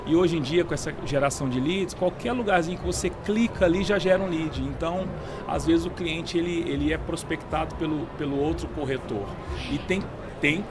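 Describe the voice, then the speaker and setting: A man's voice: fairly high pitch, a little fast, over music. A Brazilian Portuguese-speaking man answering in a conversational podcast interview, often repeating and restarting his words. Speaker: guest, real estate sales director. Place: busy trade fair podcast booth. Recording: clearly noisy event floor with traffic-like ambience.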